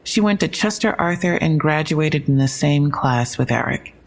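A woman's voice: sits low, expressive, moderate pace. An actress playing a matter-of-fact young female police detective in an audio drama, briefing conversationally with dry, wry asides.

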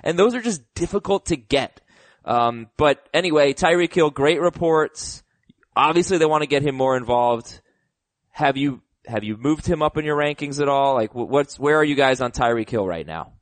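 A man hosting a casual sports talk show, animated and giving hot takes, his voice a little rough from getting sick. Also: a little high, moderate pace, dry recording. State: slightly sick voice. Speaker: podcast host.

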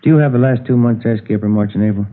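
An actor with an American accent playing an observant, matter-of-fact police detective in a 1950s radio drama, businesslike, on a muffled old recording. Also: male voice, medium pitch, racing, slight background noise, close to an everyday voice.

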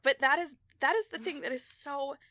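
A woman's voice: high-pitched, normal speed, sharp tone, no room sound, studio quality. A woman hosting a podcast in casual conversation, chatty and laughing often as she tells stories. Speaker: podcast host.

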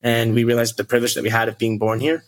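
A man's voice: mid-range voice, monotone, quick pace, poor audio. A man of twenty from the United States, a podcast guest, telling his story in an earnest way. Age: 20s. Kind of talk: casual interview talk.

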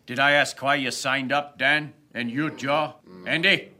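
An actor playing a gruff but fair and hearty colonial skipper in a historical audio drama, blunt and commanding in manner. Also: male voice, mid-range voice, steady tone, unhurried, bright, forceful tone. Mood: brisk, commanding.

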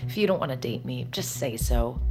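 Anxious young lover, female, American accent, low-pitched, gravelly fry, blunt, casual, incredulous, audio drama. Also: lively intonation, fairly quick, over music, exaggerated voice. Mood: hurt, angry. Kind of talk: naturalistic audio drama acting.

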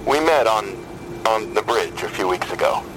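An actor playing a wary, troubled man who has been drinking, making a halting crisis call in an audio drama. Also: lowish voice, expressive, background noise, close to an everyday voice. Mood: hesitant, wary. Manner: halting, hesitant. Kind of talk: audio drama phone dialogue.

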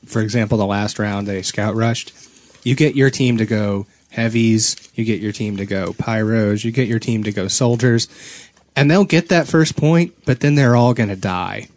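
A man's voice: on the low side, normal speed, darkish tone. A man co-hosting a casual gaming podcast with a blunt, informal way of talking. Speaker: podcast co-host.

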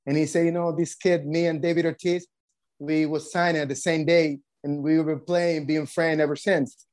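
A man from the Dominican Republic with a Dominican Spanish accent, speaking earnest, halting English as a guest coach. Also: a little high, little pitch movement, a little mellow.

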